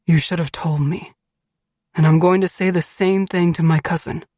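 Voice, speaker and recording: Woman voicing a blunt, brooding, lower-pitched husband. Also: steady tone, normal speed, mellow, no room sound, close to an everyday voice. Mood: stern. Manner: blunt, commanding. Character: brooding, protective, dangerous husband, intense and possessive.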